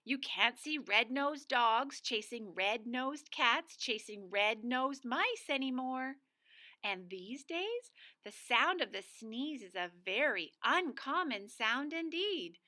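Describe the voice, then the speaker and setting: Bright, animated woman reading a children's story. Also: fairly high pitch, takes it slowly. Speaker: storybook reader, school staff. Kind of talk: expressive children's read-aloud.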